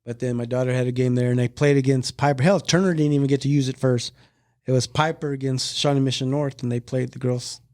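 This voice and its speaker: A man's voice: medium pitch, fairly even intonation, quick pace, a little mellow, dry recording. A middle-aged man hosting a podcast, chatty and easygoing as he prompts memories into a close mic. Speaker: podcast host. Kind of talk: casual chat.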